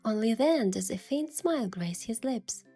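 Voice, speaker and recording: A woman's voice: a little high, lively intonation, normal speed, warm tone, husky. A woman playing a thoughtful, affectionate young heroine, narrating a romance game story in the first person with a reflective manner. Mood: narrating, earnest. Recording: music behind the voice.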